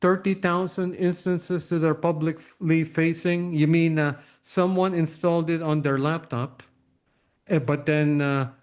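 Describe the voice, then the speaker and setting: A man hosting a casual interview, asking probing questions in a halting way and often repeating words. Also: fairly high pitch, steady tone. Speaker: interview host.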